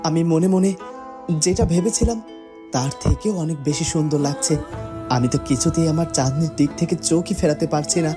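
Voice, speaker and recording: A man's voice: a little high, fairly quick, over music, close to an everyday voice. A man voicing a lovesick young cartoon prince who muses dreamily to himself with small stammers. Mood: awed, adoring. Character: lovesick young prince longing for his bride. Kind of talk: cartoon voice acting, romantic monologue.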